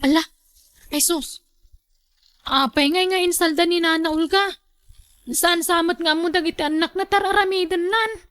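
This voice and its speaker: A woman plays a younger village woman in an Ilocano radio drama. Her voice is extremely high, in a dry sound. She is inquisitive and disapproving, with a Filipino Ilocano accent. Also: steady tone, moderate pace, pauses often, fairly bright tone, no room sound. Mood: nosy, disapproving.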